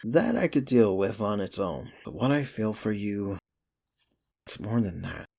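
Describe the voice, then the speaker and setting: Man acting as a low, husky smitten office rival. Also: very animated, a little mellow, heavily acted. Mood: earnest, vulnerable. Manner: intimate, teasing, halting confessions to a listener. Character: smitten male office rival confessing, teasing and flustered. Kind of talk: ASMR romance roleplay, intimate acting.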